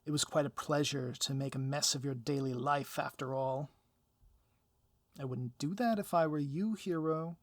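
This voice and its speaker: A man's voice: fairly high pitch, normal speed, dry recording, close to an everyday voice. A man acting a scheming, secretly lovestruck supervillain in an ASMR audio roleplay, speaking to the listener in grandiloquent, florid phrases. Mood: smug, gloating. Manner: grandiloquent, theatrical, florid formal wording. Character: theatrical male supervillain, scheming, secretly lovestruck doting spouse. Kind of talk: scripted ASMR roleplay, direct address to listener.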